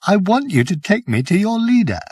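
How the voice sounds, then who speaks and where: A man voicing a calm, soothing, self-assured woman in an audiobook, quiet and gentle. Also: sits high, brisk, voice pushed up. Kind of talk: audiobook character voice.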